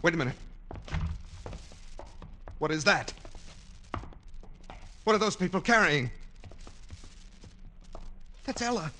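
A man's voice: a little high, sing-song, slow pace, long pauses, pushed and bright, a little hiss or hum, full character voice. An actor playing a lovelorn, anxious man in an old radio drama, speaking with earnest, pleading theatricality. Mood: alarmed, frantic. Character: lovelorn, anxious man confiding in his journal. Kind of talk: 1940s-50s radio drama acting. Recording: vintage radio broadcast recording, music.

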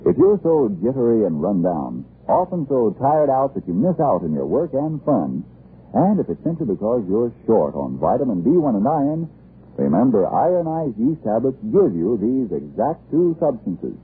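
A man's voice: mid-range voice, big pitch swings, moderate pace, slightly rough. A man reading a 1940s radio commercial as announcer, with emphatic, polished salesmanship. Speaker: announcer and sponsor pitchman. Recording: muffled, band-limited old radio broadcast.

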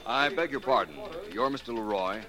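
An actor playing a suave, politely probing private detective in a 1940s radio drama. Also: male voice, medium pitch, fairly quick, natural voice. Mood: coolly probing. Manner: polite, formal, pointedly questioning. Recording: noisy, clicking 1940s radio recording.

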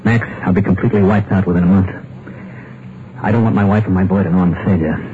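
Ruined husband, male actor, lowish and earnest, tinny old radio, music. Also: fairly even intonation, quick pace, slight background noise, close to an everyday voice. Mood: desperate. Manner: earnest, strained. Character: desperate ruined middle-aged husband. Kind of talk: 1950s radio drama, also narrating.

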